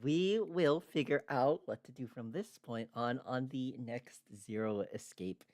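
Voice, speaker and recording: A streamer hosting a casual livestream, talking in a halting, rambling way. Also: medium pitch, noisy background. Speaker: streamer, host.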